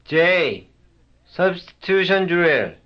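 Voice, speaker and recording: A man's voice: high-pitched, sing-song, drawn-out words. A man acting as drill instructor, calling out clipped single-word cues in a recorded language lesson. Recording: dull lo-fi old lesson tape.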